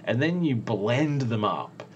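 A man's voice: medium pitch, animated, takes it slowly, lo-fi sound, a little hiss or hum. An Australian man co-hosting a casual food podcast. He talks enthusiastically and laughs often. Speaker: podcast co-host. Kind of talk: casual chat.

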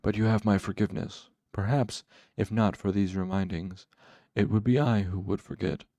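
A man voicing a hooded dark lord in a fantasy story, cool and controlled, speaking with slow, precise patience and quiet menace. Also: on the low side, creaky, dry recording, studio quality. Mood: patient reproach. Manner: slow, patient, precise, sharpening to hard command.

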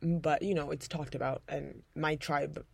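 Young london woman, low husky voice, chatty storyteller, dry close sound. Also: unhurried, dry recording. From Tanzania. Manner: lively storyteller, laughs often. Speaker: podcast co-host. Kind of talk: casual chat.

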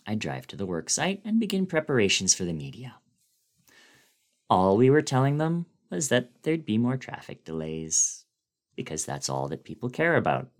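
A man giving a dramatic reading of a horror story in a deliberate, brooding way with dramatic swells. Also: fairly low pitch, wildly swooping pitch. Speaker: dramatic reader.

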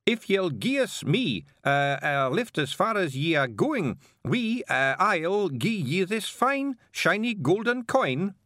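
A man's voice: sits high, big pitch swings, slow pace, few pauses, a bit bright, no echo, clean studio sound, voice pushed up, full character voice. A man doing a blustering Scottish bearded stranger. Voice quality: gruff, blustering. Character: bossy bearded stranger, several little men.

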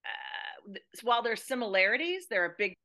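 Bright, emphatic American woman. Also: fairly high pitch, big pitch swings, moderate pace. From United States. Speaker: guest playwright and educator. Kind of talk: casual interview chat.

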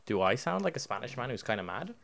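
Young man with a lively, swinging voice. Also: medium pitch, quick pace, gravelly, a crowd in the background. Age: young adult. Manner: joking, swearing, teasing banter. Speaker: podcast host. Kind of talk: casual chat.

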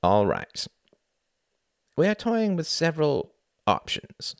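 A man's voice: a little high, wildly swooping pitch, vocal fry, smooth, dry recording, pitched above the performer's own voice, exaggerated voice. Man acting a smug, resentful grown son. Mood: conspiratorial. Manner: dry, deliberate, self-important.

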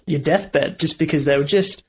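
A man's voice: on the high side, moderate pace, darkish tone, husky. An Australian man, a band member, talking in a casual interview, hesitant and thoughtful. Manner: hesitant, thoughtful, lots of fillers.

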